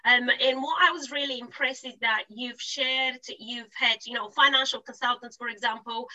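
A woman's voice: on the high side, fairly even intonation, moderate pace, pushed and bright, poor audio. A woman hosting an interview in an effusive, encouraging way. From Bulgaria. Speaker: interview host. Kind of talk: upbeat hosted interview.

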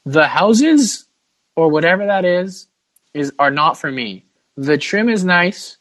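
A man's voice: high-pitched, lively intonation, takes it slowly, a bit bright. Man co-hosting a casual sports podcast. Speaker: podcast host.

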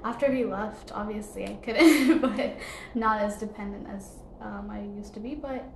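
A woman's voice: medium pitch, moderate pace, a little mellow, reverberant, a little hiss or hum. A young woman from Ethiopia, a podcast guest, answering casually with many fillers and short laughs. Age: young adult.

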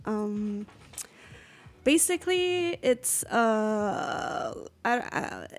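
A woman's voice: medium pitch, lively intonation, extremely slow. A woman from Puerto Rico, a radio interview guest, speaking hesitantly with many fillers.

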